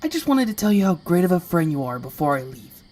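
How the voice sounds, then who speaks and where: A woman's voice: fairly low pitch, big pitch swings, quick pace, darkish tone, a little hiss or hum. A woman playing a sincere, stubborn little male creature with wounded feelings in an amateur audio drama. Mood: hurt, resolute. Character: earnest, wounded one-eyed small male flying creature.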